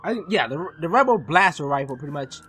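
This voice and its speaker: Young male gaming host, bright lively voice, casual and foul-mouthed. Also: fairly high pitch, fast, slight background noise. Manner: chatty, swears often, laughs at himself. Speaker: gaming YouTuber. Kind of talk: casual gameplay commentary.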